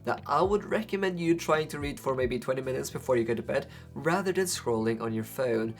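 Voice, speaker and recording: A man's voice: medium pitch, lively intonation, a little fast, soft background music. A young man with a Faroese accent, whose first language is Faroese, hosting a book channel and talking to the camera in a conversational way, often asking rhetorical questions. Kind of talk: talking to camera about books.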